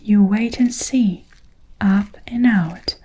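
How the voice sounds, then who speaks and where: A woman voicing an eccentric, cheerful male candy maker in a breathy whisper while reading a storybook aloud. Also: mid-range voice, takes it slowly, warm tone, low-quality recording. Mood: gleeful. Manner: whispered, gleeful.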